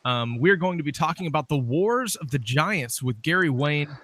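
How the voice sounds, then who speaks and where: A man's voice: a little high, animated, moderate pace, fairly bright tone, dry recording. A man casually hosting an interview show, speaking informally through greetings and announcements. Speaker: show host.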